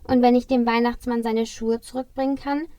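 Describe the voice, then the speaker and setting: High-voiced, earnest little elf boy played by a woman. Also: level tone, brisk, warm tone, no room sound, natural voice. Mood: hesitant. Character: earnest, brave young male Christmas elf.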